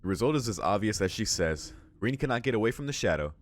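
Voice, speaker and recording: A man's voice: fairly low pitch, animated, fast talker. A man reading game text aloud and adding informal, exclamatory reaction commentary as a let's play host. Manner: informal, exclamatory asides between read passages.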